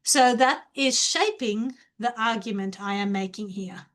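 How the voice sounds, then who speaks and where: A woman's voice: a little high, unhurried, a bit bright. A woman whose first language is not English, giving a university lecture in an earnest, explanatory way and posing rhetorical questions to her students. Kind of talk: lecture on academic reading.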